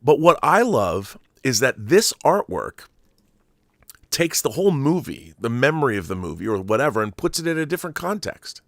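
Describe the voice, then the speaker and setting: A man in his fifties hosting a film commentary show, talking to viewers in an emphatic, deliberate monologue with stressed pauses and repeated phrases. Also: medium pitch, sing-song, fairly bright tone, dry recording, studio quality. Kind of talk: opinionated monologue to viewers about film collectibles.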